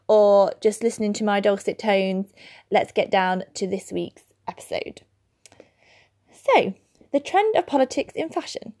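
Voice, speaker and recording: A woman hosting a solo podcast, chatty and quick to laugh at her own slips over hard words. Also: fairly high pitch, mellow. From England. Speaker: podcast host.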